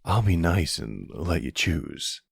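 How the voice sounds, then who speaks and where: A man's voice: low voice, very animated, slow, gravelly, a little hiss or hum, full character voice. A man playing a tough, sardonic older mafia boss and protective boyfriend, threatening and streetwise, in an ASMR roleplay drama. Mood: menacing, sardonic.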